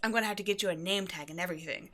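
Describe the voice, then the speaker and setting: A woman's voice: fairly low pitch, racing, a bit bright. A woman playing an upbeat, quirky shop owner in an ASMR roleplay, talking casually and breezily, offhand about eerie things. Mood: excited, pleased. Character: upbeat, quirky young woman running a mysterious occult shop. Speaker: shop owner character speaking to a new employee. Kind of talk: ASMR roleplay, casual one-sided conversation.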